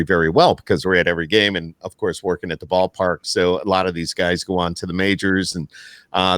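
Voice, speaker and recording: A middle-aged American man with a fairly low voice, recorded dry and close. He hosts in an easy, conversational podcast style. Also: fairly bright tone, no echo. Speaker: podcast host and radio announcer. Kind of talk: casual podcast chat.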